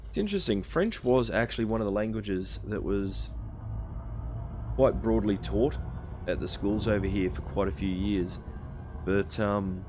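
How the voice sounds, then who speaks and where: Laid-back Australian streamer chatting. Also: male voice, fairly low pitch, unhurried, background noise. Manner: chatty, answering chat viewers between game actions. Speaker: game streamer. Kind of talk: casual live-stream gameplay chat.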